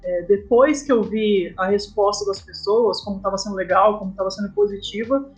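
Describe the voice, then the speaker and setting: A woman's voice: mid-range voice, moderate pace, soft background music, low-quality recording. A Brazilian woman raised in São Paulo, telling her own story informally as an interview guest. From southern Brazil-born, raised in São Paulo. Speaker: guest, comic artist and storyboarder. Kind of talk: casual interview, personal storytelling.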